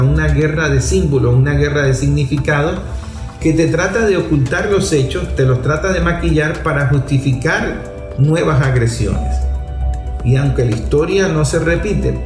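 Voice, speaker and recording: A man's voice: a little high, darkish tone, echoey room. A man with a Venezuelan accent hosting a radio talk show, speaking emphatically and teasing his guest. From Venezuela, Zulia. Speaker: radio host. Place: radio studio.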